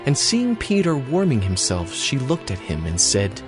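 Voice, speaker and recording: Mid-pitched male narrator with music. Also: wildly swooping pitch, normal speed. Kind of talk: scripted scripture narration.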